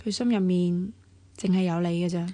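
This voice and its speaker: A woman's voice: on the low side, steady tone, moderate pace, dark tone, no room sound, lightly acted. Earnest, worried young Hong Kong woman, acted, dry sound. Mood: pleading, wistful. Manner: earnest, pleading. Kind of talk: Cantonese radio drama acting.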